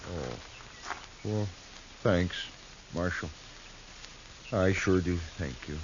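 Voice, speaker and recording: An American man playing a weary, simple frontier rancher, halting and subdued, in a 1950s radio western. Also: low voice, lively intonation, exaggerated voice. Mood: subdued, grateful. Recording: dull, hissy 1950s radio recording.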